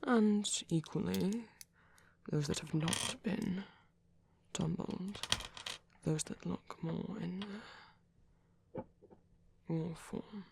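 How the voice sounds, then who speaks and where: A man voicing a caring, gentle vampire priest in a whispery, breathy ASMR roleplay, speaking hesitantly and reassuringly. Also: sits high, extremely slow, pauses often, background noise, exaggerated voice. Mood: soft, caring. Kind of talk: soft-spoken ASMR roleplay.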